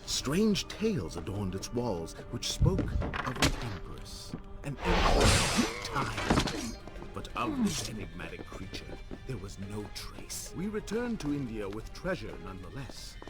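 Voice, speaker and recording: An actor playing a scheming, power-hungry older vizier in a video game, speaking in a theatrical, menacing and deliberate way. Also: male voice, mid-range voice, huge pitch swings, loud music behind the voice. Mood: grandiose, brooding. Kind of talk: dramatic villain monologue.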